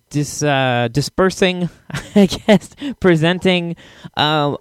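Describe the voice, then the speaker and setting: A man hosting a podcast with a casual introduction, sometimes halting as he searches for words. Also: a little high, clean tone, no echo, clean studio sound. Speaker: podcast host.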